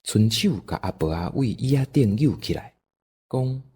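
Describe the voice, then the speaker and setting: Dark, husky, animated Taiwanese male storyteller. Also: lowish voice, moderate pace. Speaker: narrator. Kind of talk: scripted storytelling narration.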